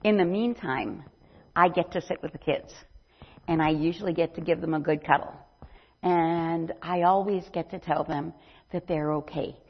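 A woman's voice: on the low side, steady tone, normal speed, breathy edge. An older woman giving a chatty mission presentation at church, rambling with many ums. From not from Brazil. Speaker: missionary speaker. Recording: dull lo-fi sound.

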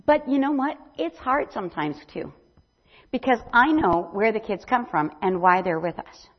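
A woman's voice: medium pitch, lively intonation, normal speed. An older woman giving a chatty mission presentation at church, rambling with many ums. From not from Brazil. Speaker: missionary speaker. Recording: dull lo-fi sound.

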